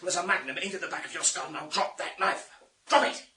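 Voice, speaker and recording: A man's voice: high voice, a little fast, bright, forceful tone. A man acting as a firm, commanding police inspector in a radio thriller. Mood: commanding, urgent. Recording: clear background noise and clicks.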